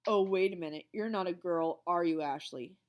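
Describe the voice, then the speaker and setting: A woman voicing a loud, teasing schoolboy with a shrill, taunting voice. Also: fairly even intonation, moderate pace, vocal fry, heavily acted. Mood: mocking.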